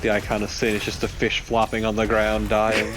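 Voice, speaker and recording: A man's voice: fairly low pitch, completely flat delivery, a little fast. A man co-hosting a let's play with casual, joking, sweary banter. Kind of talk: casual banter over gameplay. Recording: noisy gameplay background. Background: video game sound.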